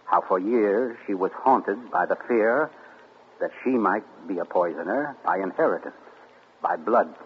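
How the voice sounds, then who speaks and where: An actor playing a suave, gloating male amateur sleuth in 1940s radio acting, theatrical and deliberate. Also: fairly low pitch, animated, lo-fi sound. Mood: smug. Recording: scratchy 1940s radio broadcast.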